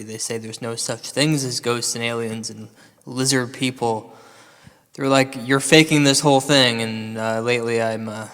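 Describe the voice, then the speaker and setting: A man's voice: mid-range voice, fairly bright tone, close to an everyday voice. Man playing an anxious, rambling paranormal investigator in reverb. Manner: hesitant, earnest, rambling. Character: anxious, self-doubting male paranormal investigator, earnest and eccentric. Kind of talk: comedy radio drama acting.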